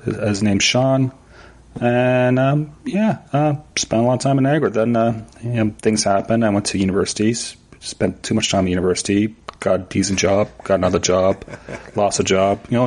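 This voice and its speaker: A middle-aged man from Canada, chatting as a podcast guest in a halting way, sometimes stuttering and slurring words. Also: fairly low pitch, steady tone, darkish tone. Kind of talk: casual interview chat.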